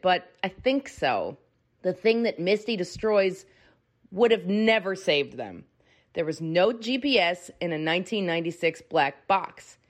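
Expressive woman with lively, swooping pitch. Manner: expressive, performed. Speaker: guest reader of a listener email. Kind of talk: dramatic reading of a casual email.

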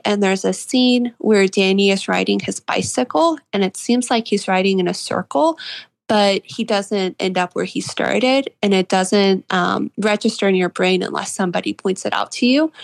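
A twenty-year-old woman answering interview questions conversationally, thoughtfully, with frequent halting pauses. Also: mid-range voice, creaky, no room sound. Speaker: interviewed author.

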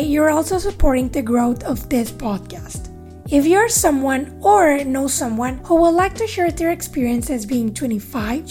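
High, mellow-voiced Latin American woman. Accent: Latin American Spanish. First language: Spanish. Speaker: podcast host. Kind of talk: casual interview.

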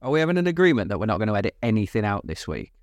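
A man's voice: on the low side, sing-song, very fast, dry recording, clean studio sound. A man, co-hosting a podcast in casual chat, rambling and laughing often. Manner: chatty, laughs often, trails off mid-sentence. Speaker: podcast co-host. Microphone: podcast mic.

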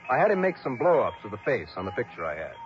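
An actor playing a dogged, wry insurance investigator in a mid-century radio detective drama, clipped and world-weary. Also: male voice, medium pitch, huge pitch swings, fast talker, soft background music. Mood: wry, narrating. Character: dogged, wry male insurance investigator narrating his case. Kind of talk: 1950s-60s radio detective drama acting. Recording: muffled old radio broadcast recording.

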